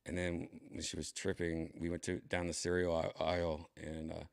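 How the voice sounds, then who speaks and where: A tipsy man with a raspy, dry-throated voice, chatting casually as a comedian hosting his livestream, rambling and clearing his throat often. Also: low-pitched, completely flat delivery, normal speed. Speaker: comedian streaming host.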